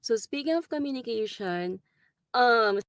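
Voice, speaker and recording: A woman's voice: a little high, animated, unhurried, a bit bright, no echo. Woman in her 40s, Filipino accent, hesitant recorded video message, dry sound. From Philippines. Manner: hesitant, many ums and likes. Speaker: travel nurse.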